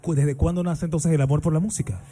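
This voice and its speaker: A man's voice: fairly high pitch, steady tone, quick pace, mellow, breathy edge, faint music behind. A man hosting a radio show, chatting and affirming his guest in a studio booth. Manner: chatty, affirming, friendly banter. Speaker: radio host. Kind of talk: casual radio interview.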